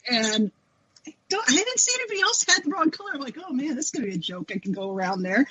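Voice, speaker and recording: Husky, fairly high-voiced woman, dull audio. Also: pushed and bright. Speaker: show panelist. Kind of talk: casual panel chat.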